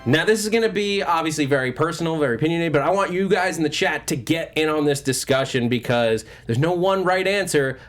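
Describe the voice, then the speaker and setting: A man hosting a movie news talk show, discussing things casually with a panel in an animated, opinionated, joking way. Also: fairly high pitch, a little fast, few pauses, faint music behind.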